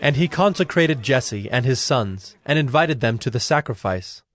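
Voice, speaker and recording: A man narrating a scripted Bible story in a formal, measured storytelling manner. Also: mid-range voice, expressive, no room sound, clean studio sound. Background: music.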